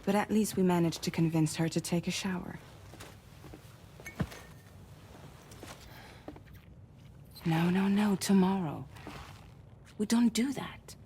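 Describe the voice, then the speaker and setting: A woman playing a gentle young caretaker, low voice. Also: normal speed, a little hiss or hum, lightly acted. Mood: fondly scolding. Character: caring young woman looking after a strange girl. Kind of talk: video game cutscene acting. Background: music score.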